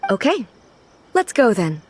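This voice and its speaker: A woman's voice: fairly high pitch, very animated, a little slow, slight background noise. A woman playing a regal, earnest and naively curious ruler in an anime-style video game, speaking formally and with composure. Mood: calm, reassuring.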